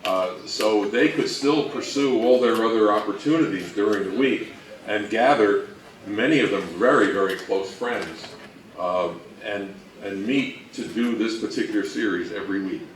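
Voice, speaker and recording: Low-voiced man presenting, recorded from afar. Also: moderate pace. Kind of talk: informal conference presentation on radio history. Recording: roomy, distant mic, faint noise.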